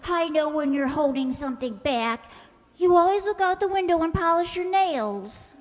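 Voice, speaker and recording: A woman playing a naive, soft-hearted young woman in an old-time radio comedy, earnest, literal-minded and pleading. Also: extremely high pitch, lively intonation, moderate pace. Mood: pleading, insistent. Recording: hall reverb on stage-like recording.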